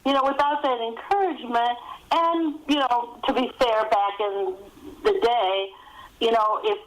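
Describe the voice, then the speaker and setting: A woman's voice: a little high, measured pace, poor audio. A middle-aged or older woman, a guest author telling chatty anecdotes in a podcast interview. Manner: chatty, laughs often while telling stories.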